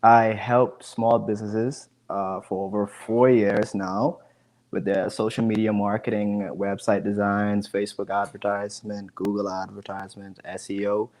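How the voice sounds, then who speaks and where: A man's voice: lowish voice, level tone, moderate pace, darkish tone. A man, chatting casually as an interview guest on a video call from home, often repeating words as he goes. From the Bahamas. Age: 20s. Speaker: guest, digital marketing specialist. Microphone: earphone headset.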